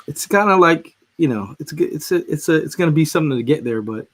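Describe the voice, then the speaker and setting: A man's voice: fairly high pitch, lively intonation, fast talker, fairly bright tone. A man hosting a casual sports podcast, rambling with restarts, his voice just recovering after he lost it. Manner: rambling, frequent restarts and fillers. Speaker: podcast host.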